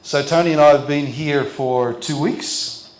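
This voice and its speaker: Older aussie pastor, gentle and measured, bit higher voice, roomy echo all around. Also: male voice, steady tone, slight background noise. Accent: Australian. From Australia. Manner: gentle, speaks in short phrases for translation. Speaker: visiting pastor. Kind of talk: sermon through an interpreter.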